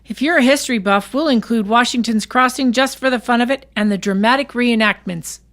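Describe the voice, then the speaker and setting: Female co-narrator for radio theatre, voice a little high, scripted delivery. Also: fairly quick, a bit bright.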